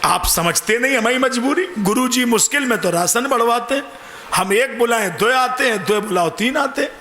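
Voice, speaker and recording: Preacher guy doing a grumbly simple village man in a devotional tale, high voice, echoey. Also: moderate pace, sharp tone, a little hiss or hum. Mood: aggrieved complaint. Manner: plain-spoken, complaining, stubbornly practical. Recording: echoey PA sound with music behind.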